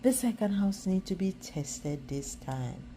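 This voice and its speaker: A woman reading a children's picture book aloud as a storyteller, expressive and now and then halting over words. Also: medium pitch, a little hiss or hum.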